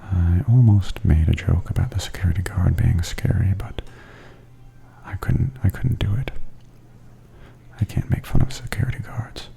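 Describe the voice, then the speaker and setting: A man giving softly spoken ASMR tour commentary in a breathy, near-whispered, gentle and unhurried murmur. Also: deep voice, soft background music.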